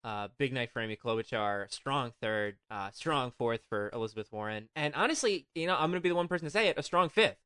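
A man with a very lively, swooping delivery talks fast through casual film banter. He is wry and jokey, tossing out mock-showman asides like a host playing to listeners. The sound is close and dry. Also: mid-range voice, clear, resonant tone, no echo. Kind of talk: casual comedic film chat.

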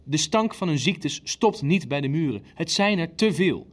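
Ruthless, insistent Nazi official. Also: male voice, on the high side, expressive, moderate pace. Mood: insistent, harsh. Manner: insistent, coldly matter-of-fact. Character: ruthless, impatient Nazi official pressing for efficient killing. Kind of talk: dramatic dialogue in a radio play.

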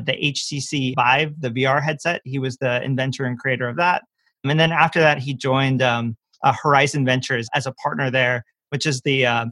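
Bright-voiced man, emphatic interview guest. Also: medium pitch, no room sound. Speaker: venture capital partner, interview guest. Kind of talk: conversational self-introduction and explanation.

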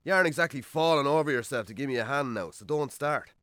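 An actor playing a defensive, hard-drinking Irish bachelor farmer in a naturalistic radio drama, colloquial and dryly sarcastic. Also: male voice, medium pitch, fast, a bit bright, no room sound, studio quality, lightly acted. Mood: bitter, sarcastic.

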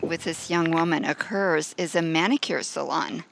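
A woman interviewer who narrates the introduction and asks questions in a clear, polished, encouraging manner. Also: low-pitched, normal speed, soft background music, poor audio.

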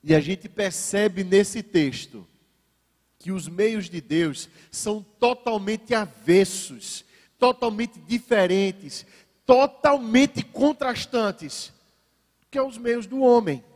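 A man's voice: high voice, takes it slowly, a little mellow, long reverb tail. A man from Brazil preaching a sermon to a congregation. He shifts his pace for emphasis and slips in ironic asides.

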